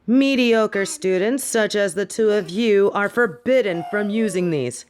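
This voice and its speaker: A woman's voice: mid-range voice, lightly acted. Woman playing a haughty, stern headmistress of magic. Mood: stern lecturing. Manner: haughty, measured, formal. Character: stern, contemptuous older headmistress of magic school. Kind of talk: fantasy audio roleplay acting.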